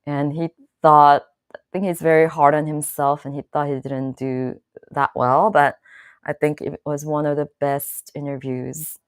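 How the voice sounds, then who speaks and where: A woman hosting her own channel, chatting casually to viewers in a slow, thoughtful way with many fillers and restarts. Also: deep voice, flat delivery, smooth, no room sound, clean studio sound. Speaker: channel host. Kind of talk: casual live-stream talk to viewers.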